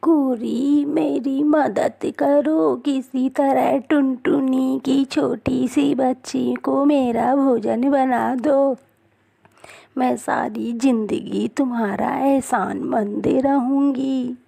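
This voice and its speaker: A woman voicing a scheming old female eagle in a cartoon story, creaky, with slow, drawn-out pleading. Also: high voice, fairly even intonation, mellow, heavily acted. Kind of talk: cartoon story character acting.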